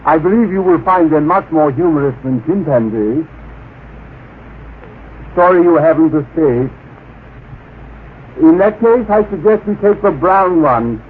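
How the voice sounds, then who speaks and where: An actor playing a cold, formal alien officer who politely disdains humans, in old radio drama style. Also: male voice, fairly high pitch, animated, a little slow, pauses often. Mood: detached, polite. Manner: formal, deferential, clipped. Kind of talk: 1940s-50s radio drama acting. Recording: tinny old radio broadcast, faint noise.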